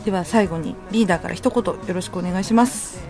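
A woman's voice: lowish voice, quick pace, mellow. A woman hosting a radio talk show in a polite, conversational way. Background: music.

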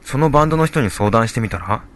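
A man playing a calm, supportive young father and husband in a radio drama, speaking in a low-key, casual way. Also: quick pace, lightly acted. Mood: thoughtful. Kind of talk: amateur radio drama dialogue.

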